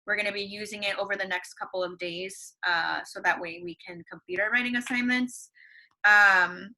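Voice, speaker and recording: A woman's voice: mid-range voice, bright, forceful tone, rough recording. A woman teacher reading a story aloud to her class, chatty, with lots of fillers and questions to the children.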